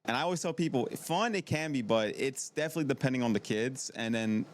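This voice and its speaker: A man's voice: mid-range voice, animated, fast talker. A man in his twenties from Maryland, chatting casually as an interview guest in a rambling, repetitive way. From from Maryland, United States. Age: 20s. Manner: conversational, rambling, repeats himself. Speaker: podcast guest, middle school PE teacher. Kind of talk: casual chat. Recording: noticeable background noise.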